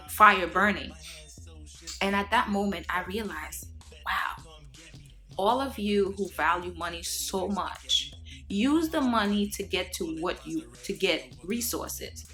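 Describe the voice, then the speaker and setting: Bright-voiced woman from Haiti, preachy. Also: medium pitch. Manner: earnest, preachy, many fillers and restarts. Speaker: vlogger. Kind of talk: casual monologue to camera.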